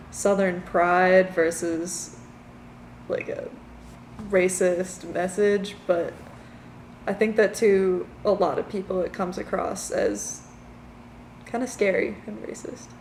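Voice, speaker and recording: A young woman from Virginia gives measured interview answers. Her voice has a gravelly fry. The recording is roomy, with faint noise behind. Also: mid-range voice, level tone, a little mellow. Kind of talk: casual street interview.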